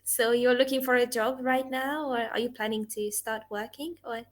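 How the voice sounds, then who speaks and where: A woman's voice: a little high, steady tone, normal speed. A woman in her twenties, an English tutor, chatting casually on a video call, laughing often and asking lots of questions. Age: 20s. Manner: giggly, chatty, asks many questions.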